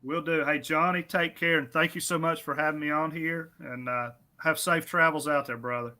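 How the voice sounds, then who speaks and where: Man, friendly radio guest, bright voice, casual chat. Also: fairly high pitch, fairly even intonation, moderate pace. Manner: easygoing, self-deprecating, friendly. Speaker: drag strip guest. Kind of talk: casual on-air interview.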